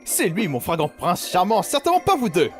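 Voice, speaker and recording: A man's voice: high voice, wildly swooping pitch, brisk, a bit bright, soft background music, exaggerated voice. A man voicing a refined, doting demon father, hesitant and tender, in a cartoon fan dub. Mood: haughty. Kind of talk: animated series fan dub.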